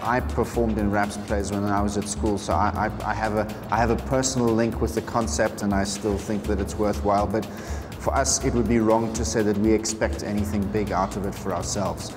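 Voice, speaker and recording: A South African man speaking as a corporate representative in an interview, measured, often restarting his phrases. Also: fairly low pitch, flat delivery, big-room sound, over music.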